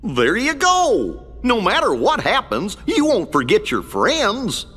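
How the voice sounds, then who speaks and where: A man voicing a good-hearted, slow-witted cartoon dog in a video game, with a husky, folksy, bumbling drawl. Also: high voice, huge pitch swings, a bit bright, full character voice. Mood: reassuring. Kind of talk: video game cutscene voice acting. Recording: long hall reverb.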